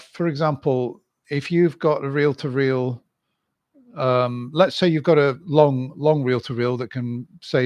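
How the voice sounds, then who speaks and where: A British man delivers an informal, opinionated monologue as the host of his own channel. His voice sits at a middling pitch, and he argues his points deliberately, thinking them through aloud. The sound is very dry, with hardly any room in it. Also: a little mellow, no room sound.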